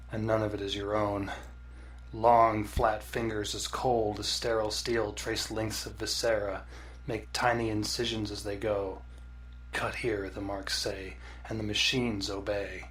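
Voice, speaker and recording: A man reading scripted horror fiction as a narrator, in a deliberate, dramatic storytelling manner. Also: on the low side, fairly bright tone. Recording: distant mic with faint noise.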